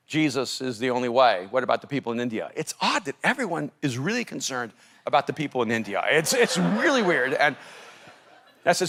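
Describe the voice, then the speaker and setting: A man from El Salvador, a native Spanish speaker, preaching as a pastor and telling a story in an animated, laughing manner. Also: medium pitch. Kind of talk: storytelling sermon.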